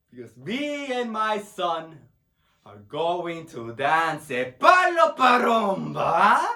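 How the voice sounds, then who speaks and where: A man's voice: high-pitched, fairly bright tone, heavily acted. A man playing a middle-aged Puerto Rican immigrant father in a solo dramatic monologue, proud, tender and grieving, speaking broken English with a Puerto Rican Spanish accent and rambling with "huh?" tags. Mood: animated, nostalgic. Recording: echoey room.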